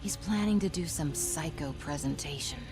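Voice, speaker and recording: Gutsy, worried game informant; low register, urgent and hushed, score behind her. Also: normal speed, music in the background. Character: gutsy, anxious female informant, urgent and determined. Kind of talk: video game cutscene acting.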